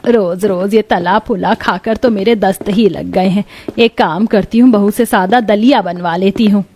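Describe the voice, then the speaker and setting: A woman's voice: medium pitch, normal speed, a little mellow, a little hiss or hum, close to an everyday voice. A woman voicing a stubborn, fretful elderly mother-in-law in a cartoon story, given to scolding. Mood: dismayed.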